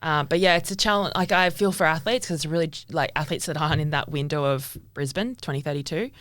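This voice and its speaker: Casting: Australian woman, 30, rapid casual delivery, candid athlete in conversation, very dry sound. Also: deep voice, fairly bright tone, no echo. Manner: frank, informal, peppered with quoted asides. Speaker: elite triathlete, podcast guest.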